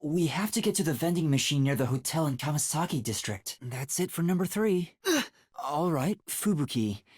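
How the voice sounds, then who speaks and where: Nervous young detective for a video game, soft boyish breathy voice, pitch jumps around a lot, super dry recording. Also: male voice, expressive, moderate pace, no room sound. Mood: curious, polite. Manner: hesitant, polite, earnest, stammering. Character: timid, earnest, anxious young male amnesiac trainee detective. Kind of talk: English video game voice acting.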